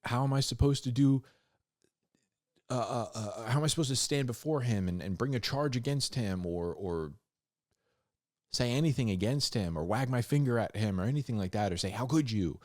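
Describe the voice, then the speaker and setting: A man hosting a Bible reading, mixing scripture with informal, slangy commentary and animated asides. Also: mid-range voice, normal speed, no echo, studio quality. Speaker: host of a Bible reading show.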